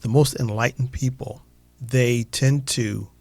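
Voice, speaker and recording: Affirming man with a very gravelly edge. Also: mid-range voice, fairly even intonation, measured pace, darkish tone, dry recording. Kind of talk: reflective radio conversation.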